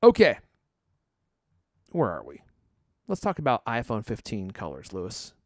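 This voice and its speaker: A man's voice: on the low side, huge pitch swings, unhurried, no room sound, clean studio sound. A man hosting a podcast, chatting casually and reading ads in a jokey, teasing way with theatrical emphasis. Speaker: podcast host. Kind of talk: casual chat and ad reads.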